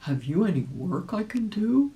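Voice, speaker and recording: A polite old gentleman rabbit from a children's storybook, played by a woman. The voice is soft-edged and warm with lively swings in pitch. He sounds earnest, kindly and eager to be useful. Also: moderate pace, rough recording. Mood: polite, hopeful.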